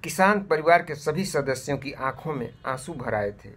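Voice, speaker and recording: A man reading a scripted moral story for children in a measured, deliberate way. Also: a little high, animated, fairly bright tone.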